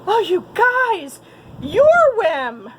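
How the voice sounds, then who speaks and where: A woman voicing a silly, quick-witted male goose in a children's story, exclaiming as he spins a tall tale. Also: extremely high pitch, wildly swooping pitch, a little hiss or hum, pitched above the performer's own voice, full character voice. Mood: relieved, excited.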